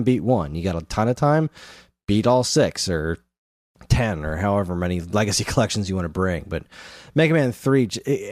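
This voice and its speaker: A man's voice: low-pitched, no echo. A man co-hosting a podcast, chatting casually about video games. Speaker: podcast host. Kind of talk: casual gaming podcast chat.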